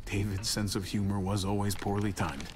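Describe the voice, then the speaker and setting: Low-voiced, level-headed male survivor, played by a man. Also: moderate pace, noisy background, close to an everyday voice. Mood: focused, practical. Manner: calm, earnest, plain-spoken. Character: level-headed, reassuring adult male survivor, quietly determined. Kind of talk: naturalistic dramatic game acting. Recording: music behind the voice.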